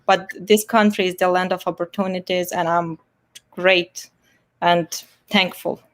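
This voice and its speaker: A woman's voice: fairly low pitch, flat delivery, measured pace, a bit bright. A woman in her forties from Moldova, a native Romanian speaker with a Moldovan accent, chatting earnestly as a game show contestant over a video call. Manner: chatty, earnest, laughs often. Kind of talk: casual self-introduction.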